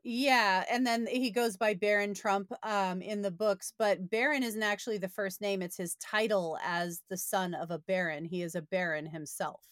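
A woman's voice: mid-range voice, steady tone, normal speed, hardly any pauses, fairly bright tone, no room sound. Sardonic woman with a close, dry sound. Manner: opinionated, sardonic, uses profanity. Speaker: podcast panelist reviewing books. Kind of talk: casual discussion.

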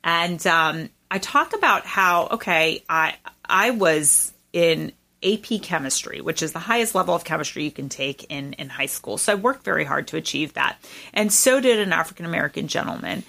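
A woman's voice: on the low side, animated, moderate pace, creaky, sharp tone. A woman in her forties, a native Farsi speaker, talking earnestly and emphatically as a guest in an interview, with frequent "um" fillers. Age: 40s. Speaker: political candidate guest. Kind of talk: conversational interview.

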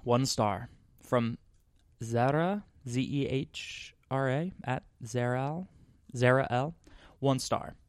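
A man's voice: mid-range voice, slow, clear, resonant tone, dry recording. A man hosting a casual podcast, playfully teasing his guest while reading beer reviews aloud. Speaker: podcast host.

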